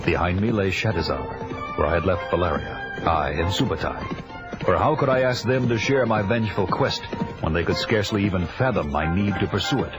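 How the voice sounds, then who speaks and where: Guy doing barbarian warrior narrating his revenge quest, low serious voice, music under it. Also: moderate pace, close to an everyday voice, rough recording. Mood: grave, brooding. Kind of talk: scripted first-person audio drama narration. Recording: music behind the voice.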